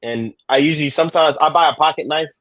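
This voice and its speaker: A man's voice: on the high side, quick pace, fairly bright tone, no room sound. A man guest giving travel safety advice in a casual chat, earnest, repeating himself and chuckling at his own stories.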